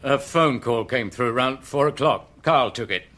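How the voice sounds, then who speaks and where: A man's voice: mid-range voice, normal speed, a bit bright, close to an everyday voice. A man acting in a British radio detective drama as a grudging, evasive lodging-house keeper who answers colloquially and defensively, with fear underneath.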